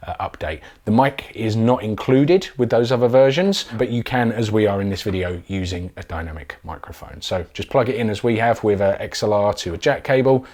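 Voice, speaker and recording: A man's voice: on the low side, a little fast, hardly any pauses, darkish tone. A man presenting a casual, chatty product demonstration in an informal way.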